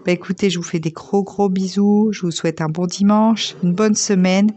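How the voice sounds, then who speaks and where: Chatty, slightly hoarse woman vlogger. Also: mid-range voice, fairly even intonation, moderate pace, dark tone. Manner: chatty, rambling, many fillers. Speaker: diamond painting vlogger. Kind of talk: casual chat while crafting.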